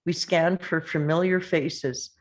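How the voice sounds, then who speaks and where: A woman's voice: lowish voice, fairly even intonation, slow pace. A woman reading stage directions as the narrator of a screenplay table read.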